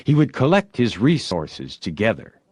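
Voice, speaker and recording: A man narrating a classic story as an audiobook, with expressive, theatrical storytelling. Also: on the low side, normal speed, husky, no echo, studio quality. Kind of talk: dramatic literary audiobook narration.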